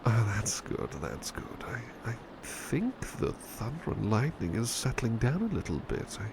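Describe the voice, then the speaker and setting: A man playing a devoted, tender butler in an intimate ASMR roleplay, speaking in a hushed, sleepy, halting voice that often slips into whispers. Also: mid-range voice, big pitch swings, gravelly, noisy background. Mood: drowsy, soothing. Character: devoted, tender male butler comforting a beloved at bedtime.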